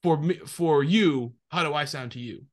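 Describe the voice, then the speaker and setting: A man's voice: on the high side, normal speed. A man with a New England accent, hosting a casual podcast chat and rambling through his thoughts. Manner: rambling, many fillers and restarts. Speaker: podcast host.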